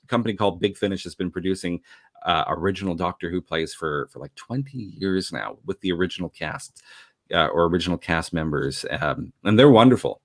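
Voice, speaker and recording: A man from Canada with a low voice talks casually and at length. He is effusive and rambling, often doubling back on his words. The sound is dry, with very little room. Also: animated, a little fast, no echo. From Canada, grew up in Montreal. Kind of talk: casual conversational chat.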